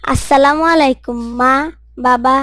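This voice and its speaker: A woman's voice: high-pitched, fairly even intonation, measured pace, fairly bright tone, no room sound, studio quality. A woman voicing a cheerful, eager young man who has come home for the holiday. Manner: eager, friendly.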